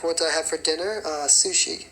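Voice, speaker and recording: A raspy young man, a singer and choreographer, chatting casually on a phone livestream and rambling with many fillers. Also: on the high side, brisk, bright, forceful tone, rough recording. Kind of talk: casual livestream chat answering comments.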